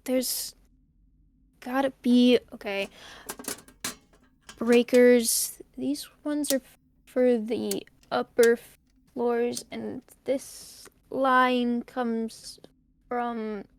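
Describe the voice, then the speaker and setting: A woman playing a nervy, joking young loner in an audio drama, muttering to themself in a wry, halting way. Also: fairly high pitch, steady tone, slight background noise. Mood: nervous, wry. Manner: wry, halting, self-talking. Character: nervy, joking young loner recording a tape while fixing power.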